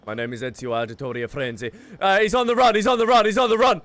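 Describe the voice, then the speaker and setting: A man's voice: high voice, fast, a bit bright, faint music behind. A man doing casual, jokey let's play commentary as a gaming creator, playfully talking to the characters.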